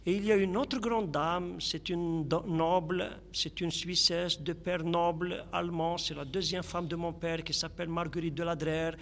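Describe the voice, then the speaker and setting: A man's voice: a little high, steady tone, moderate pace, hardly any pauses, fairly bright tone, in a large hall. A man from Algeria, a poet, speaking in a radio interview and reciting his poems in a lyrical, grandiloquent way. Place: radio studio.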